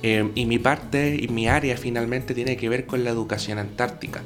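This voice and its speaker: A man's voice: mid-range voice, fairly even intonation, moderate pace, over music. A man with a Chilean accent giving earnest, explanatory answers as an interview guest. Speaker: guest, secondary school science teacher.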